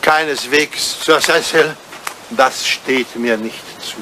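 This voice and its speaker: An older man playing a devoted elderly English butler in a German radio play, stiffly formal and deferential, quietly wounded. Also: mid-range voice, slow pace, close to an everyday voice. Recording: noisy, clicky vintage radio recording.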